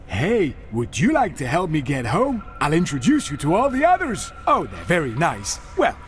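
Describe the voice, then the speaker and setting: A man's voice: on the high side, wildly swooping pitch, normal speed, faint music behind. A man voicing a playful, naive, dim-witted little cartoon creature in a video game, with a guileless, childlike manner. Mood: friendly, playful.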